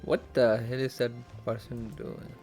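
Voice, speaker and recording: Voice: medium pitch, level tone, measured pace, a little mellow. A game streamer giving casual live commentary, hesitant and muttering reactions to themselves. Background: game music.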